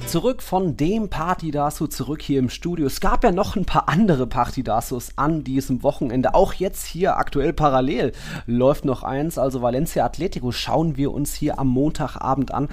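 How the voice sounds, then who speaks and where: A man's voice: a little high, moderate pace, soft background music. Casual male football podcast host.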